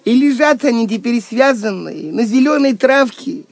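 An actor playing a wry, mocking, plucky jester with clownish, lamenting banter in an audio drama. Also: male voice, very high voice, animated, moderate pace, creaky, no echo, exaggerated voice.

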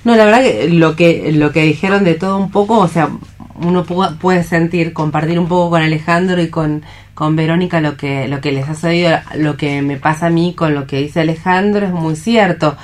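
A woman's voice: deep voice, normal speed. A woman in a casual radio discussion, tentatively piecing her thoughts together. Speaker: radio theatre reader in a discussion. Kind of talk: casual round-table discussion.

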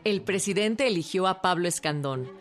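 A woman's voice: lowish voice, animated, normal speed, soft background music. A woman with a Mexican accent reading scripted narration for a historical radio documentary.

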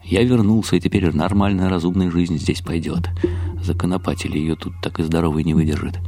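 A man narrating a first-person radio drama in an expressive, confiding, slightly wry way. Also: low-pitched, fairly quick, faint music behind. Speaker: narrator and lead actor.

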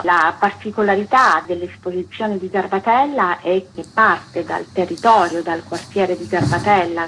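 A woman speaks in a slightly low voice, explaining a project as an interview guest. There is some faint ambient noise behind her. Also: rough recording.

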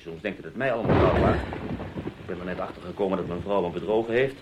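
A man's voice: deep voice, quick pace, muffled recording, heavy background noise, lightly acted. A man playing a sarcastic, cynical husband in an audio drama, talking down to his wife with condescending endearments. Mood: sneering.

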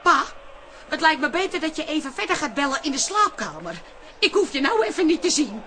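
An emotional middle-aged wife in a radio drama. Her voice is high and bright, swinging widely in pitch. She speaks with feisty expression. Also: a little fast, slight background noise, exaggerated voice. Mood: scolding. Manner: scolding, expressive. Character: feisty, emotional wife caught between husband and father.

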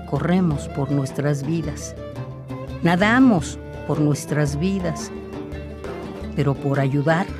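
A woman narrating a poetic scripted piece in a deliberate, insistent, declamatory way. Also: low-pitched. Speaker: narrator of a radio capsule. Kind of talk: poetic scripted solidarity narration over music. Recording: guitar music behind the voice. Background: guitar music.

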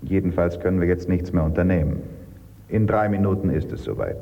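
A man's voice: low voice, lively intonation, fairly quick, vocal fry. A man playing a grave, terse leader giving orders in a radio drama, in a muffled recording. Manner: terse, commanding. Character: grave, commanding shelter leader bracing for danger.

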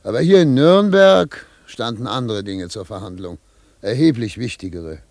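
An actor playing a brisk, self-assured middle-aged American lawyer who narrates and talks in a German radio play, with a theatrical, pondering manner. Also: male voice, medium pitch, lively intonation, creaky, a little mellow, no echo. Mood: pensive. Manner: theatrical, pondering aloud, repeating words for emphasis. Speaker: narrator and lead character.

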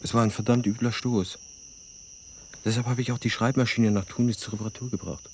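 An actor playing an uneasy American writer in a naturalistic radio drama, talking in a hesitant, probing way. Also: male voice, mid-range voice, steady tone, brisk, a little mellow, close to an everyday voice. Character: uneasy American male writer, haunted by a violent deed. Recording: clear background noise of chirping crickets. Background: crickets, outdoor ambience.

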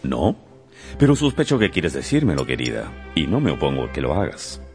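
A man's voice: extremely low pitch, wildly swooping pitch, moderate pace, a little mellow, soft background music, full character voice. An actor playing a dry, patient middle-aged husband humoring his wife in a radio drama. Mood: dryly amused.

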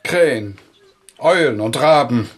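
Fussy grumbling bird-lover, man, slow delivery, lively swooping intonation, querulous, radio drama. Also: medium pitch. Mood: irritated, pedantic. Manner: pedantic, querulous. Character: fussy, grumbling bird-loving secretary of Goethe, pedantic and hesitant. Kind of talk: German radio play acting.

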